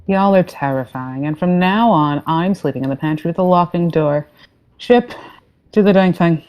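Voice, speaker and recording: A woman playing a breezy, trigger-happy spaceship captain in a sci-fi comedy play, delivered with dry deadpan. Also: lowish voice, moderate pace, highs cut off, lightly acted. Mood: wry.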